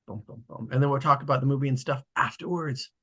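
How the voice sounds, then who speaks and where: A man with a slightly husky voice, casually hosting an online table read and narrating the stage directions. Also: mid-range voice, steady tone, quick pace, fairly bright tone. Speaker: host and stage-direction reader. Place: online video call.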